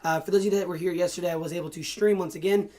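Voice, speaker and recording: A hoarse young man in college hosting a casual, excitable let's-play commentary with lots of fillers. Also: high voice, racing. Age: young adult. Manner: excitable, chatty, many fillers. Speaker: gaming channel host.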